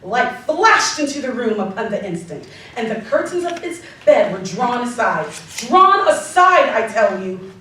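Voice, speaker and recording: High-voiced, echoing woman narrator. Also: a bit bright. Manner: theatrical, emphatic, deliberate word stress. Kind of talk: dramatic scripted story narration. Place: live community theatre stage. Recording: distant mic, very echoey live hall, slight noise.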